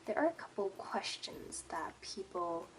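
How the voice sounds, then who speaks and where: A woman's voice: medium pitch, lively intonation, unhurried, a little hiss or hum, rough recording. A woman in her twenties from Malaysia, a native Mandarin speaker with an American-leaning English accent, chatting casually in a personal vlog with many "um" fillers. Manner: chatty, frequent "um" fillers and little laughs. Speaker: vlogger.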